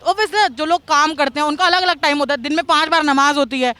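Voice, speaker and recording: A woman's voice: sits high, fast, bright, forceful tone. A woman working as a street reporter, putting questions to passers-by outdoors. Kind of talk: vox pop interviewing with leading questions.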